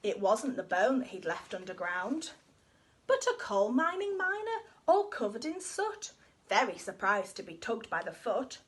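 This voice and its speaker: A woman reading a rhyming children's story aloud in an expressive, sing-song way. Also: fairly high pitch, unhurried.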